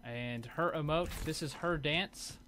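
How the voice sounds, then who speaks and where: A man's voice: a little high, a little slow, pushed and bright, slight background noise. A man giving casual commentary over game footage as a video host.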